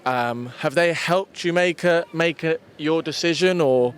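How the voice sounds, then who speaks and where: A man's voice: a little high, measured pace, smooth. A man asking passers-by questions in a casual, encouraging way. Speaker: interviewer. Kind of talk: street interview questions.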